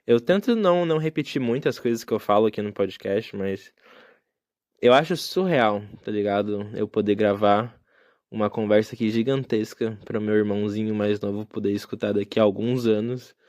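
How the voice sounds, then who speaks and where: A man's voice: fairly low pitch, a little mellow, no echo, clean studio sound. A young man hosting a solo podcast, talking in a casual, reflective and rambling way with many fillers. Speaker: podcast host. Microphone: phone.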